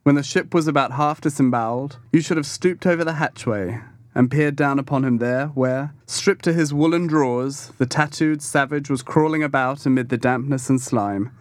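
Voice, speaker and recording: Male audiobook reader, mid-pitched voice, literary narration, measured expressive delivery, close dry sound. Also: dry recording.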